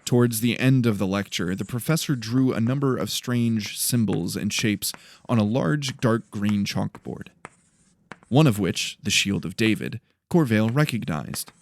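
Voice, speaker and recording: A man's voice: on the low side, a little slow, no room sound. A man narrating dramatic fiction from a script as an audiobook storyteller. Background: faint music.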